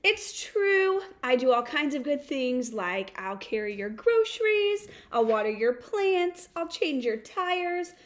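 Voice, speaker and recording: Female reader as storybook good egg: high-voiced, unhurried, earnest do-gooder, emphatic sing-song delivery. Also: very animated, full character voice. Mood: proud, eager. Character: eager, earnest, overworked good egg, storybook narrator-hero. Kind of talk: expressive first-person picture-book read-aloud.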